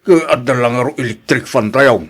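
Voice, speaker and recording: A man's voice: mid-range voice, moderate pace, slightly rough. A man playing a thrifty middle-aged husband in an Ilocano radio drama, querulous, practical and questioning. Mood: puzzled, objecting.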